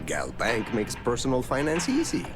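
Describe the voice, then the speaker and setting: A man's voice: mid-range voice, wildly swooping pitch, normal speed, music in the background. An actor playing a courteous bank teller in a video game, reciting slogans like a salesman. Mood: courteous, canned. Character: polite, scripted male bank teller spouting slogans.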